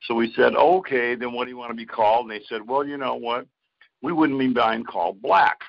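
A man's voice: medium pitch, fairly quick, gravelly. A man co-hosting a podcast in casual chat, crude and swearing heavily. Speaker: podcast co-host. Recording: dull lo-fi audio.

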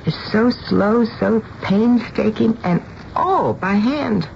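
Animated, worried wife. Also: female voice, medium pitch, slow, creaky. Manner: earnest, expressive, concerned. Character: worried, tender-hearted wife who also narrates the story. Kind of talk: radio drama acting and narration. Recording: dull, hissy old radio broadcast.

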